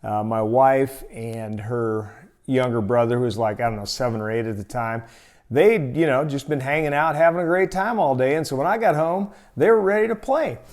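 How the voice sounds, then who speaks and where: An American man telling a personal story in a casual, folksy, expressive and conversational way as a storytelling host. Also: mid-range voice, moderate pace.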